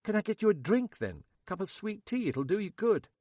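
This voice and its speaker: A gruff older Scottish police inspector, played by a male reader speaks firmly and bluntly, with a lively, somewhat raised voice. The recording is dry and close, with no room sound. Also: high voice, normal speed, no echo, voice pushed up. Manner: firm, blunt, dryly indignant.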